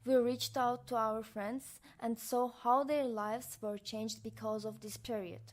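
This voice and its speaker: A woman's voice: on the high side, steady tone, measured pace. Young woman narrating a student documentary. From Azerbaijan. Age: young adult.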